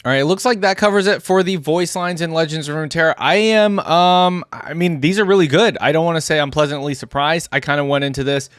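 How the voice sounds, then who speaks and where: A man's voice: fairly high pitch, fast talker, hardly any pauses, a bit bright, smooth, no room sound, clean studio sound. Casual, musing man giving reaction commentary. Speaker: reaction video host.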